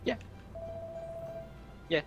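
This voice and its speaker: A guest chatting casually on a game stream, easygoing and quick to laugh. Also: muffled recording. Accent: regional accent. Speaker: co-host on a stream. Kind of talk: casual chat. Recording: game music behind.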